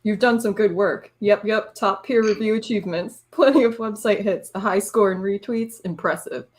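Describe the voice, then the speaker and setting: A woman's voice: mid-range voice, moderate pace, close to an everyday voice. A woman voicing a smug, lecherous male boss with a pompous, dismissive manner in an amateur dramatic reading. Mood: smug, patronizing.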